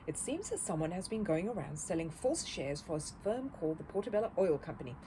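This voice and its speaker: A woman's voice: fairly low pitch, sing-song, fast, background noise. A woman voicing a kindly older male shopkeeper who is courteous, concerned and mildly reproving. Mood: gently cautioning.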